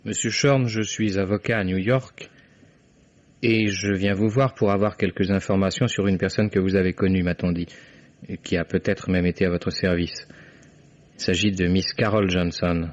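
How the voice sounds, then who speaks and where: An actor playing a courteous, persistent lawyer who questions deliberately, in the style of 1950s French radio drama. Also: male voice, deep voice, steady tone, no echo, close to an everyday voice. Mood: formal, grave. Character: courteous, persistent male New York lawyer investigating a case. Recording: dull, band-limited vintage radio recording.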